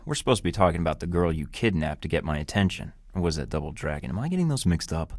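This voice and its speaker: A sardonic young spy from a video game, tossing off dry wisecracks that turn to menace when pushed. His voice sits very low and deep. The sound is close and dead dry, with no room around it. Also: very animated, fast, dry recording, heavily acted. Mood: wry. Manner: dry, sarcastic quips with flashes of menace. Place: recording studio.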